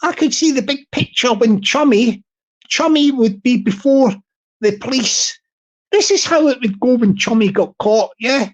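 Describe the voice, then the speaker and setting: A man with a high-pitched tenor voice, talking casually on his livestream and repeating his phrases as he rambles. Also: expressive, a little slow, lo-fi sound. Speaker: YouTuber livestream host.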